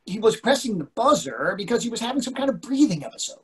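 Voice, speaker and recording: A man's voice: high voice, very animated, quick pace, slightly rough, heavily acted. An older man reading the part of an anxious, bossy husband in a stage play, fretful and full of questions. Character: anxious, bossy, needling husband in long marriage. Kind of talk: readers theatre play reading. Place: indoors on a video call.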